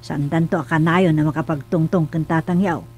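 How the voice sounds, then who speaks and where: A woman's voice: deep voice, steady tone, fairly quick, a little mellow, breathy edge. A woman with an Ilocano accent acting an inquiring village mother in a comedic radio drama.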